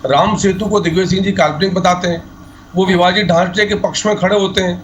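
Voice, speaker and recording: High-voiced, combative male party spokesman, noisy audio. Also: steady tone, fast. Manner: combative, repetitive, accusatory. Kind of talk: televised political debate.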